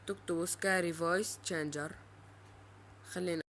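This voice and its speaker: A male presenter giving an informal, halting step-by-step app tutorial. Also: high voice, steady tone, a bit bright, a little hiss or hum.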